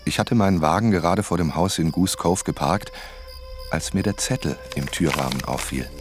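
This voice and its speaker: A man's voice: low-pitched, wildly swooping pitch, normal speed, over music. A man acting as a novelist who narrates his own investigation in a scripted crime radio drama. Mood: measured.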